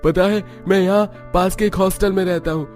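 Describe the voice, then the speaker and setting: A man voicing an eager, chatty young male student who flatters as a customer in a Hindi cartoon story. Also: high-pitched, normal speed, faint music behind, close to an everyday voice. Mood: pleased, eager.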